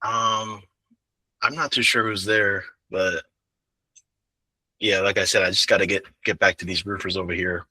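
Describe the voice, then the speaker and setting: A man's voice: low-pitched, steady tone, moderate pace, long pauses, sharp tone, slightly rough, no room sound, low-quality recording. A man in a casual mock sales call, playing the prospect with brief, noncommittal replies. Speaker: coaching client playing a roofer prospect.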